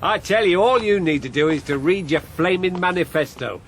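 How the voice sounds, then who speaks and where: An actor playing a blunt, combative left-wing politician in a radio drama, gruff, sardonic and plain-spoken. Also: male voice, high voice, expressive, normal speed, slight background noise. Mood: scornful, combative.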